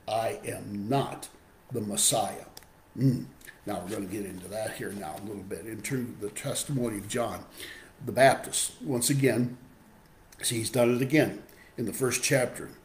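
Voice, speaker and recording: A man in his sixties with a hoarse voice from a cold, teaching an adult Bible class on a webcam and reading scripture aloud. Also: mid-range voice, a little mellow, echoey room. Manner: explanatory, reads scripture aloud. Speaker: Sunday school teacher. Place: home room with window. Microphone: webcam video call.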